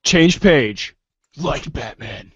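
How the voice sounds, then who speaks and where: Male reading-group cast member, casual, very unhurried, animated pitch, slightly lo-fi dry sound. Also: slightly rough, dry recording. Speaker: cast member calling page changes and joking.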